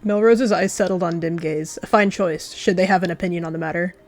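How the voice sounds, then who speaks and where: A woman's voice: fairly low pitch, steady tone, a little fast, faint music behind. A woman narrating a game roleplay as a story, slipping into chatty asides.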